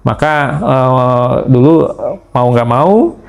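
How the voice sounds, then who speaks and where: A middle-aged man from Indonesia, an interview guest telling his life story in a measured way, with frequent pauses and fillers. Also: mid-range voice, lively intonation, gravelly, darkish tone. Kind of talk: conversational testimony.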